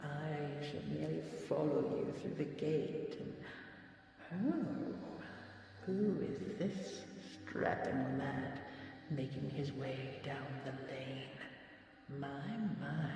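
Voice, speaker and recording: Woman playing a slow, hushed, regal dragon queen. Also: deep voice, gravelly, very echoey, long reverb tail, distant mic, over music, exaggerated voice. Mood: musing, teasing. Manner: formal, measured, coaxing, slightly archaic phrasing. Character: possessive, magical female dragon queen, regal and tender. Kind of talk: scripted audio roleplay, hypnotic fantasy.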